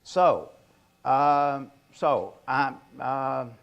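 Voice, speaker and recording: An older man delivers an informal talk with a stutter. His speech is slow and halting, with repeated words and blocks, and his voice has a gravelly creak at a medium pitch. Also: dull audio. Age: 60s or older. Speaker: author giving a presentation.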